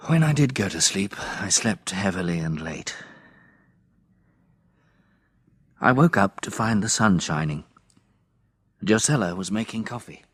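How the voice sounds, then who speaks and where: An actor playing a cautious, pragmatic survivor of a catastrophe in a radio drama, speaking firmly and matter-of-factly. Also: male voice, sits low, lively intonation, moderate pace, fairly bright tone, breathy edge, dry recording, exaggerated voice. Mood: reflective. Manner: matter-of-fact, firm. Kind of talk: radio drama acting and narration.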